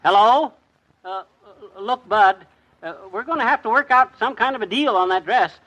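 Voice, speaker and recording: An actor playing a blustery, boastful middle-aged husband in a 1950s radio sitcom. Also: male voice, high voice, pushed and bright, dull audio. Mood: flustered. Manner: blustering, quick to bluster at others.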